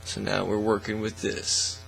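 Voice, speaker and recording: Folksy guy doing a laid-back how-to, lots of vocal fry, bit of hum behind him. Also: lowish voice, completely flat delivery, fairly bright tone, poor audio. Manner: folksy, unhurried step-by-step talk. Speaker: hobby craft tutorial host. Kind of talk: casual how-to demonstration. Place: indoor hobby workbench. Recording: faint mains hum and noise.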